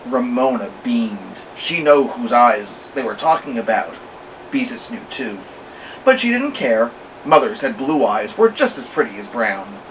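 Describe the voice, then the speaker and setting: A man reading a children's story aloud with expressive narration. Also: medium pitch, unhurried. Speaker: storybook reader. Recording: distant mic, slightly dull, faint noise.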